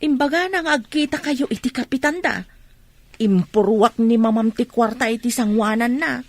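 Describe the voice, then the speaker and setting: Chatty high-voiced female helper. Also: normal speed, dry recording. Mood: gossipy, deferential. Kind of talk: radio drama acting.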